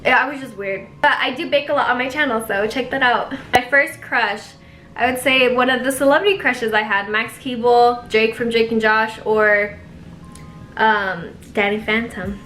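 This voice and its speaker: Chatty young woman, bright and high. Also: reverberant. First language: Spanish. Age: young adult. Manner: chatty, filler-heavy, giggly asides. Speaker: YouTuber. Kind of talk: casual vlog chat.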